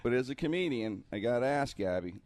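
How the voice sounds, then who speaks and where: A man's voice: medium pitch, lively intonation, fast, darkish tone. Jokey man hosting casual radio. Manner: jokey, effusive, repeats phrases for emphasis. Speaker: comedian radio host. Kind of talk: casual radio interview chat.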